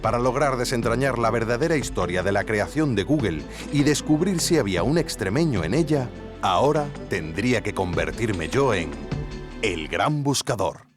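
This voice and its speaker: A man's voice: medium pitch, lively intonation, normal speed, natural voice. An actor from Spain playing a wry, curious male investigator narrating a podcast, with ironic conversational asides to the listener. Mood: wry, intrigued. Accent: Spanish from Spain. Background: music.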